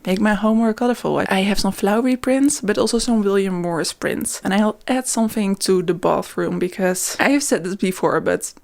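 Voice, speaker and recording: A woman's voice: mid-range voice, moderate pace, creaky, hardly any pauses, darkish tone. Female book vlogger, native Dutch speaker, mid-range voice, candid and conversational, casual vlog delivery. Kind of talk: casual chatty reading vlog.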